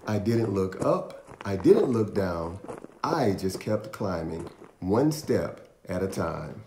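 A man in his thirties reading a picture book aloud to young children, with deliberate, dramatic storyteller pauses. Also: deep voice, sing-song, warm tone, a little hiss or hum. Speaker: children's storytime host and reader. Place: small indoor room in a house. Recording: roomy echo, faint music.

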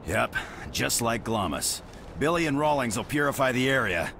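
Gravelly deep military boss in a game, blunt orders, noisy background. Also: male voice, expressive, normal speed, a bit bright. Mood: cold, resolute. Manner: terse, blunt, commanding. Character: gruff, commanding male special forces squad leader. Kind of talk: video game cutscene acting.

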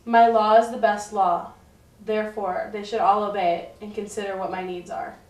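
A woman's voice: mid-range voice, fairly even intonation, normal speed, a little mellow, close to an everyday voice. A woman playing a boastful, unashamed, much-married medieval wife in a scripted puppet show monologue. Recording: echoey, distant mic, faint music.

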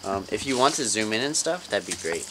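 A man's voice: fairly low pitch, very animated, a little fast. A young adult man chatting casually with friends outdoors on a camera microphone. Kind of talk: casual chat among friends. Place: outdoors, open concrete spaces. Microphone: camera microphone at a distance. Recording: distant, very noisy outdoor camera recording.

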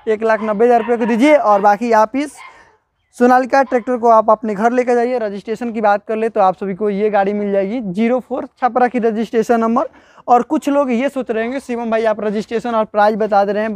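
Young man pitching used tractors outdoors. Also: a little mellow, slight background noise. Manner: brisk salesman patter, repeats prices and calls viewers brother. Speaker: vlogger showing used tractors for sale. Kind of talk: walking tour sales vlog. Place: outdoor tractor market yard.

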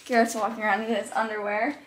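A young woman in her twenties vlogging casually to a handheld camera, chatty and quick to startle. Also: a little high, level tone, a crowd in the background. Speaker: outdoor vlogger. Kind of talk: casual vlog narration. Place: motel room, then outdoors in a pine forest. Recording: noisy handheld camera audio.

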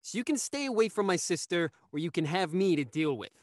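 A man's voice: high voice, big pitch swings, a little fast, dry recording, studio quality. A young man playing a cocky, hot-headed young actor in an audio drama. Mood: confrontational. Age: young adult.

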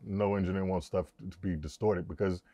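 A man in his forties with a deep voice, a mix engineer casually explaining his work in a studio control room, relaxed and slangy. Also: fairly quick, no room sound. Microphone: lapel mic.

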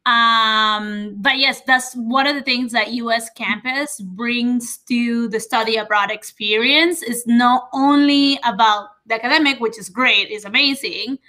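A young woman from Venezuela speaks on a video call from her living room. Her voice is bright and sits a little high. She talks to viewers directly in an upbeat, informative way. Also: measured pace, few pauses. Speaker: university representative. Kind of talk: friendly webinar presentation.

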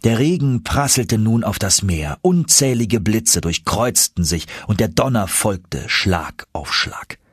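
A man narrating an audiobook story in an expressive, suspenseful, dramatic manner. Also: fairly low pitch, slow pace, few pauses, slightly rough, dry recording, clean studio sound.

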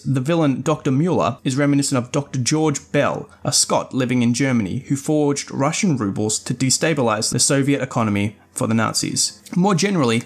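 A man narrates a scripted podcast review at a middle pitch. His delivery is measured and articulate, with formal wording throughout, like an essay read aloud. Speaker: podcast host.

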